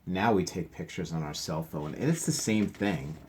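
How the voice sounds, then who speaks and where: A man's voice: low voice, animated, normal speed, slight background noise. A male teacher reading a picture book aloud to children, stopping for chatty asides and sometimes dropping to a whisper.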